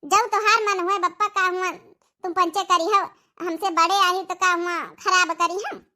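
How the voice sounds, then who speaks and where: Plaintive, high-voiced young stepdaughter, acted by a woman. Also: fairly even intonation, a little slow, bright, forceful tone, heavily acted. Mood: obedient. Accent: Awadhi. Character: put-upon young stepdaughter, long-suffering, dutiful village girl. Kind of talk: comic cartoon voice acting.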